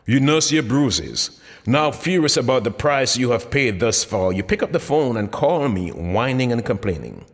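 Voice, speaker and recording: A man's voice: medium pitch, very animated, normal speed. A man reading his own motivational book aloud as scripted narration, with dramatic, emphatic delivery. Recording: long hall-like reverb.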